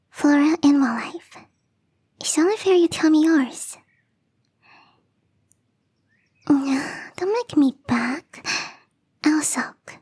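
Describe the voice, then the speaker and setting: A woman playing a shy, playful young catgirl gardener in an intimate ASMR roleplay, speaking softly and hesitantly in a breathy half-whisper. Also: high voice. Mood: playful, pleading. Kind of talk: ASMR roleplay, intimate one-sided dialogue.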